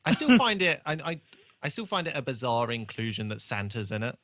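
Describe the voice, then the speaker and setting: A man's voice: medium pitch, sing-song, brisk, a bit bright, dry recording. A British man co-hosting a podcast, chatting casually about television in a hesitant, joking and sweary way. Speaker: podcast co-host. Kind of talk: casual TV rewatch chat.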